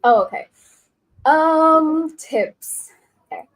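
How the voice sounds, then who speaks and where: A woman's voice: sits high, expressive, extremely slow. A woman in her twenties, a nursing student, talking casually as an interview guest, chatty and rambling with many fillers. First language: English. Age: 20s.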